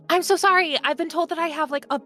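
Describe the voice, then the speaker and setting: A woman's voice: extremely high pitch, brisk, pushed and bright, soft background music, pitched above the performer's own voice. A woman playing a perky, overeager young female intern with a gushing, earnest manner, in improvised tabletop roleplay. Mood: eager, bubbly. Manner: gushing, effusive.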